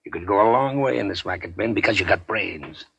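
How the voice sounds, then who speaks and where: An actor playing a rueful small-time gangster in a 1940s radio drama, husky and gravelly, rambling in a folksy, confiding way. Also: male voice, deep voice, animated, a little fast, fairly bright tone, exaggerated voice.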